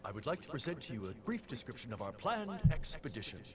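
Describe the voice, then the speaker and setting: An actor playing a determined older explorer in a video game, speaking formally and showily like a practised orator. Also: male voice, medium pitch, big pitch swings, quick pace, natural voice. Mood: grand, formal. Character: determined, grandiose older explorer addressing the press. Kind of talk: video game cutscene acting, formal speech. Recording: noisy game audio from a stream.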